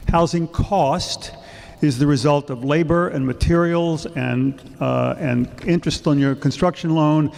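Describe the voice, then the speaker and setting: An older man giving an explanatory public talk on housing into a handheld microphone, emphatic and loud-voiced. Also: fairly high pitch, gravelly. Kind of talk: lecture to a public audience. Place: public hall. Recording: reverberant hall.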